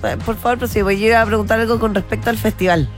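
A woman, co-hosting an interview, asking a question in a brisk, casual manner. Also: mid-range voice, soft background music. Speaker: co-host.

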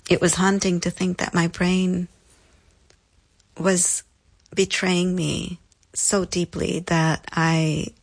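A woman speaks as an interview guest, telling her own story in a slightly low voice. Her delivery is reflective and deliberate, leaning on repetition for emphasis. The sound is close and dry. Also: creaky, husky, no room sound, rough recording.

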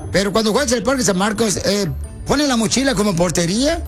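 A man's voice: high voice, animated, fast, faint music behind. A man playing a pushy male soccer scout on a prank call, talking in a drawl full of slang and fillers. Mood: teasing.

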